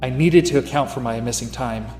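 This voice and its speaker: An actor playing a troubled private detective with memory gaps in a noir audio drama, brooding and confessional. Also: male voice, medium pitch, a little fast, darkish tone, echoey room, faint music behind, natural voice. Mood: brooding, anxious. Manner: brooding, confessional inner monologue.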